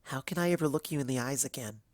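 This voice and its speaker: Man as a hushed, distressed young mare librarian. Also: medium pitch, no room sound, natural voice. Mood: ashamed. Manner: hushed, halting. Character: distressed young female unicorn pony librarian, ashamed and doubtful. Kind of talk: fan fiction storybook reading.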